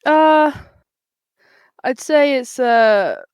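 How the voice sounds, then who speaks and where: Smooth-voiced British guest, laid-back. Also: high-pitched, lively intonation, extremely slow, no echo. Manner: laid-back, swears freely, laughs. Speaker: guest singer. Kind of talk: casual interview chat.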